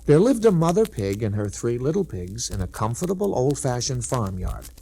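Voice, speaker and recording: Animated male storybook narrator. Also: medium pitch, normal speed, gravelly. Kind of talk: scripted 1960s children's storybook narration. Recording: noisy old 1960s children's record.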